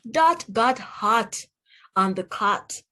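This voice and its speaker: A woman reading aloud to young children as a teacher, pronouncing words deliberately one by one and putting questions to the listener. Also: a little high, animated, sharp tone. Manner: deliberate word-by-word enunciation, asks the listener questions. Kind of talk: read-aloud phonics lesson for children.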